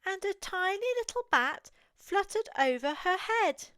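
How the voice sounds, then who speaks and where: A woman with an English accent reads a picture book aloud to young children in an expressive, deliberate storytelling manner. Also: extremely high pitch, dry recording, clean studio sound. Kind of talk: read-aloud picture book narration for toddlers.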